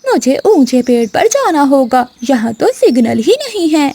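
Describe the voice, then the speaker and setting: A woman's voice: high-pitched, big pitch swings, a little slow, dark tone, exaggerated voice. A woman voicing a spiteful, scheming female crow neighbour in a cartoon, scolding and conniving. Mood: sly.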